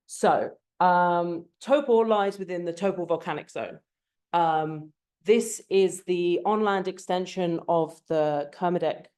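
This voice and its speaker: A woman's voice: lowish voice, a little mellow. A woman from England, a postdoctoral researcher, giving an academic seminar talk in a hesitant way, with many ums and uhs. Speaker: guest speaker, postdoctoral researcher.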